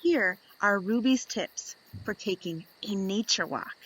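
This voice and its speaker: A woman reading aloud to young children in an expressive storytelling style, deliberate and fond of dramatic pauses. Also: mid-range voice, husky, poor audio.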